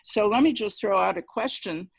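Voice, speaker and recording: A woman's voice: medium pitch, normal speed, vocal fry, slightly rough. A woman speaking emphatically in an informal literary discussion on a video call.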